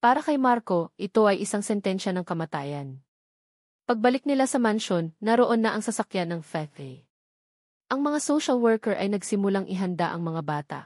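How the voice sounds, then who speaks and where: A woman with a Filipino accent reads a dramatic story as scripted narration, in a measured, solemn manner. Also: medium pitch, animated, darkish tone, no echo, studio quality.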